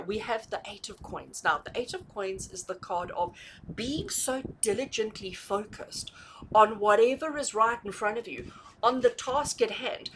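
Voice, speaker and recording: A woman's voice: mid-range voice, fairly bright tone, a little hiss or hum, low-quality recording. A woman giving a conversational tarot reading to viewers, emphatically repeating and rephrasing her points and checking in with questions. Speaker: tarot reader hosting a reading.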